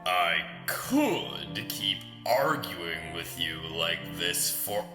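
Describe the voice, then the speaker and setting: A man's voice: deep voice, very animated, drawn-out words, bright, forceful tone, full character voice. A man playing a vengeful tyrant lord and former soldier, taunting and mocking in formal, theatrical audio drama speech. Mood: taunting, menacing. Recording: roomy echo, music underneath. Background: music.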